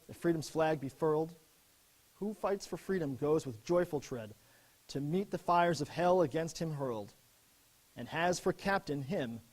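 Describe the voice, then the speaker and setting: An actor playing an earnest young American lieutenant in a dramatic, expressive reading of his letters home from war. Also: on the high side, poor audio. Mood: solemn, reverent.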